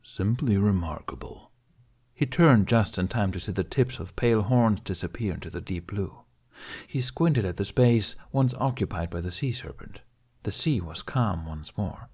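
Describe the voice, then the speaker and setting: A man's voice: fairly low pitch, animated, normal speed, darkish tone, breathy edge. A man reading scripted fantasy fiction as an audiobook narrator.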